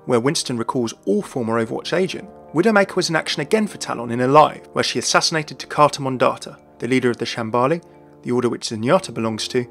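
Male narrator, mid-pitched and brisk, scripted game lore delivery over a faint music bed. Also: a bit bright.

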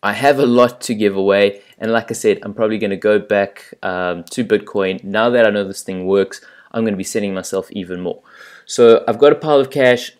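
A man's voice: lowish voice, brisk. A man with a South African accent, chatting casually and a little self-deprecatingly as he walks through a screen recording. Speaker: channel host.

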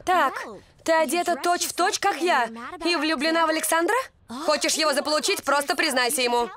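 A woman's voice: sits high, a little fast, crowd noise, lightly acted. An actress voicing a moody, prickly, lovestruck teenage girl in a dubbed cartoon. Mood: sulky.

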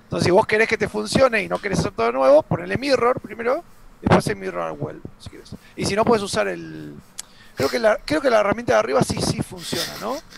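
A man with an Argentine accent co-hosting a live stream, reading viewer questions and explaining software fixes in a gravelly voice. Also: sits high, lively intonation, brisk, noisy background. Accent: Argentine Spanish. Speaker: co-host reading chat. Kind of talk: casual live-stream chat and tech answers.

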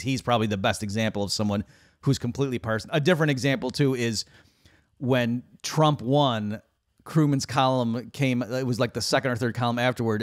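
A middle-aged man talking economics in a casual discussion, speaking from a small room into a boom-arm studio mic on a video call. He is animated and mocks the people he quotes by lifting his voice. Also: dry recording. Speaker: economist guest.

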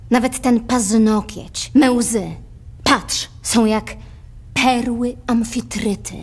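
An actress playing a proud, defiant teenage aristocratic girl in a verse radio play, solemn and deliberate. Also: fairly high pitch, breathy edge, heavily acted. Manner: solemn, deliberate, poetic delivery. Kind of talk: theatrical verse drama acting.

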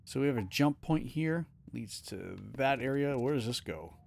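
Gravelly-voiced man muttering over game play. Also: medium pitch, lively intonation, moderate pace. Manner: casual, mutters to himself while exploring. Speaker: streamer. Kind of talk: live gameplay commentary. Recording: game music behind voice.

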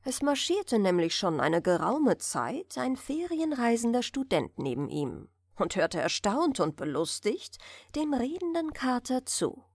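A woman's voice: fairly high pitch, very animated, unhurried, breathy edge, dry recording. A woman narrating a bedtime fairy tale as an audiobook reader, close to the microphone.

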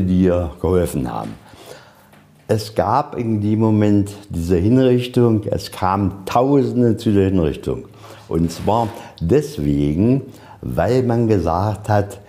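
A man with a low, mellow voice leads an informal museum tour. He narrates in a folksy way, putting questions and answering them himself. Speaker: museum guide. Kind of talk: informal guided tour storytelling. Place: inside a museum.

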